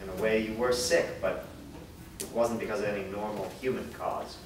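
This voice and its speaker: Male actor as remorseful, anxious father in a fantasy reading, halting, slightly low voice, clear room noise. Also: steady tone, natural voice. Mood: regretful. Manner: halting, earnest. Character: guilt-ridden, worried father with long-kept secrets. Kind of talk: staged reading of a fantasy drama. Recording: echoey room, distant mic, clear noise.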